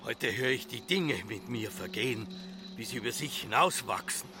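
A man's voice: mid-range voice, expressive, moderate pace, noisy background, lightly acted. A middle-aged actor with an Austrian accent playing an obsessed, embittered farmer father in a literary radio drama, brooding and incantatory in manner. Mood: rapt musing.

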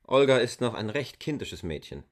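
A man acting a stranger in a village inn for a radio play. He is direct and probing, with a medium male pitch. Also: sing-song, moderate pace, close to an everyday voice. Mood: dismissive. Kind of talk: literary radio drama acting.

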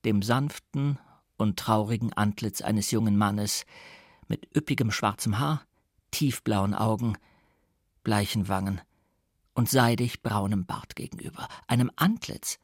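A man narrating literature as an audiobook reader in a theatrical, deliberate and emphatic way. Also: on the low side, dry recording, clean studio sound.

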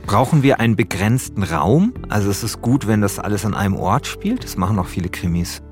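A man's voice: lowish voice, expressive, a little fast, darkish tone, soft background music. A man taking part in a podcast, chatting casually and asking questions. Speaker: podcast participant. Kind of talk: casual chat.

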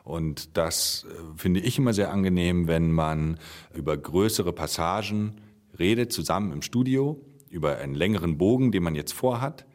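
A man's voice: deep voice, darkish tone. A man, an actor being interviewed, talking reflectively and searching for his phrasing.

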